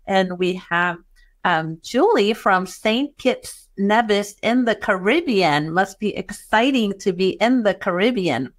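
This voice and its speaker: A woman's voice: mid-range voice, animated, takes it slowly. A middle-aged woman from Chile with a Chilean Spanish accent touched by Texan, hosting a bookkeeping webinar over a video call in a welcoming way with many fillers. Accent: Chilean Spanish with some Texan. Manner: warm, welcoming, with frequent fillers. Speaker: webinar host and bookkeeping educator. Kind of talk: webinar presentation.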